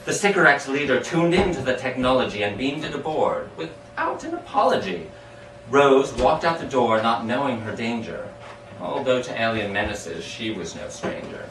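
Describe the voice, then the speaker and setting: A man giving an expressive, dramatic recital of storytelling verse in a sing-song rhyming rhythm. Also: mid-range voice, moderate pace. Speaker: amateur poet reading their own poem. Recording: echoey room, distant mic, background hiss.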